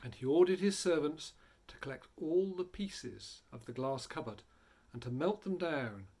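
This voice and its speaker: A man reading a story aloud in a theatrical, dramatic way, building and hushing for effect. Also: fairly high pitch, animated, normal speed. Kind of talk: animated dramatic storybook narration.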